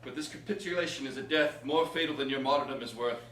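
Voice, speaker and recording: A man's voice: medium pitch, fast talker, a bit bright, close to an everyday voice. A man playing a battered, devoted guardsman who pleads solemnly and earnestly in a staged verse reading. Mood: pleading. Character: Michael, battered loyal guardsman, emotional and devoted. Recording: echoey room, distant mic, clear noise.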